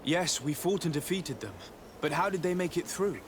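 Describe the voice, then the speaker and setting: A man playing a composed young noble diplomat in a video game, speaking in a formal, measured and polite way with quiet resolve. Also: on the high side, expressive, background noise, lightly acted. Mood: earnest, resolute. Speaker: diplomat character. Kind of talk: video game cutscene acting.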